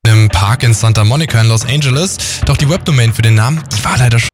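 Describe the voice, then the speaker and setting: A man presenting a scripted radio segment in a measured, informative delivery. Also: medium pitch, husky, dry recording, faint music behind.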